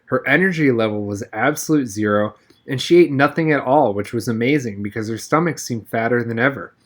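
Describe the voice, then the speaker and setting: A man reading a novel aloud as an expressive narrator, with wry first-person storytelling. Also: medium pitch, fairly quick. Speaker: reader, narrator. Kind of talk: expressive audiobook-style read-aloud of a novel.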